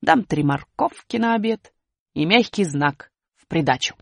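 A woman voicing a mocking, mustached male trickster who gloats and jeers, in a low gravelly put-on voice. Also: expressive, measured pace, no echo, clean studio sound, exaggerated voice. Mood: declaiming. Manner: jeering, sly, crowing.

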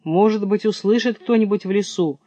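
A man's voice: high voice, a little fast, dry recording, muffled recording, voice pushed up. A man voicing a timid but brave young hare, polite and agitated as he speaks. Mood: desperate, determined. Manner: polite, agitated, apologizing even while insulting.

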